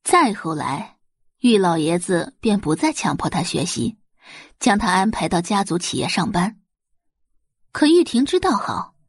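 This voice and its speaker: A woman's voice: lowish voice, slightly rough, no room sound, clean studio sound. A woman narrating an audiobook in a dramatic, scripted storytelling style.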